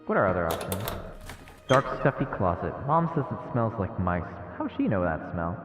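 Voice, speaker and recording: A man's voice: sits low, very animated, normal speed. A man streaming a visual novel, reading the narration aloud and adding casual asides. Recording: echoey, phone-like narrow sound, long reverb. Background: game music.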